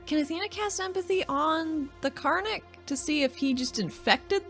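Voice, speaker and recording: A woman's voice: high voice, normal speed, a bit bright, faint music behind. A woman chatting casually as a player in a tabletop role-playing podcast. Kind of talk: casual tabletop roleplay chat.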